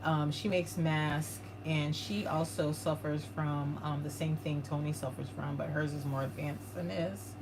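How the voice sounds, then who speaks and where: A woman co-hosting a paranormal livestream, reading viewer comments aloud in a flat, monotone way. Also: low-pitched, a little slow, few pauses. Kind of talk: casual livestream chat. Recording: clear background noise.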